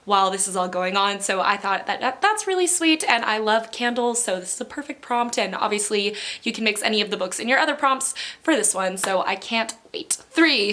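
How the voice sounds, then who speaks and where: A woman chatting casually to camera as a book vlogger, gushing and excitable, repeating words for emphasis. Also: a little high. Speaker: book vlogger, channel host.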